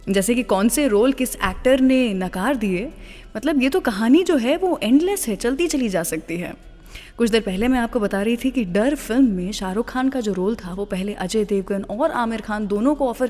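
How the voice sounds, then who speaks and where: A woman's voice: on the high side, a little mellow. Indian woman radio host in long reverb. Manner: mixes English phrases into Hindi. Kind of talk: conversational scripted radio storytelling about film music. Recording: long reverb, music bed behind voice. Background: background music.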